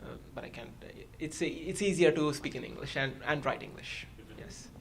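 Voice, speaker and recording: Panelist from India, first language Hindi; gravelly, halting, filler-heavy; faint low rumble behind. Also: male voice, fairly bright tone. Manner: hesitant, many uh fillers.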